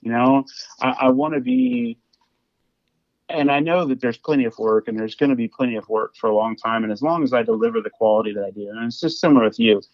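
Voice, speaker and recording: A man's voice: mid-range voice, very animated, fast talker, vocal fry, dry recording. A man with a Midwestern American accent, a flooring business owner, talking casually as a podcast guest and often restarting his sentences. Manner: rambling, with frequent restarts and repeated words. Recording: dull lo-fi audio.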